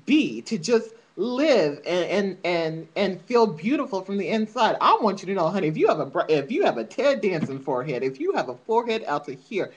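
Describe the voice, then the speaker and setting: A woman's voice: fairly low pitch, big pitch swings, brisk, hardly any pauses, a little mellow, husky, highs cut off. A woman ranting in a casual vlog, sassy and emphatic, calling her viewers honey. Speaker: vlogger.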